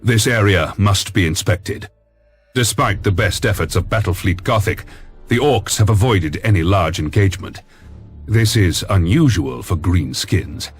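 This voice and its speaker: A commanding space navy admiral from a video game. His voice is deep and husky. He briefs formally and sternly over soft music. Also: unhurried. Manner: formal, authoritative military briefing. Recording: music underneath.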